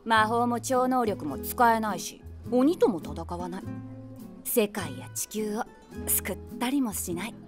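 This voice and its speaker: A woman's voice: a little high, unhurried, over music, natural voice. An actress playing a wry woman of forty-six, a self-deprecating eavesdropper narrating an audio drama conversationally in the first person. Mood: wry, matter-of-fact. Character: wry 46-year-old woman, eavesdropping daydreamer.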